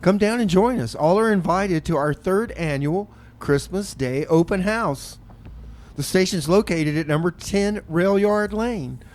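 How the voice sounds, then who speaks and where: Man, community radio host, studio mic, fairly high voice, easygoing, chatty announcements. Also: unhurried, a little mellow. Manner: laid-back, calls listeners folks and man. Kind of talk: casual radio banter and announcements.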